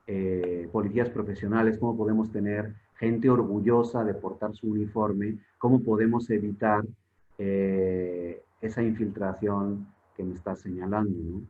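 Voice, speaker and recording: A man born in Spain speaking as a guest expert in an online forum, emphatic, with rhetorical questions and repeated words while he searches. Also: lowish voice. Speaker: guest expert on public security. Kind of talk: conversational lecture in an online forum. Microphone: video call. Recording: tinny phone-like online call audio.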